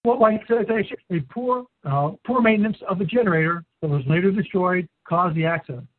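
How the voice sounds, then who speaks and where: A man's voice: high-pitched, a little fast, no room sound. Blunt man on a tinny line. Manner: blunt, incredulous, folksy. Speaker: co-host of diving talk show. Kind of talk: casual opinionated discussion. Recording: dry, tinny phone-like sound.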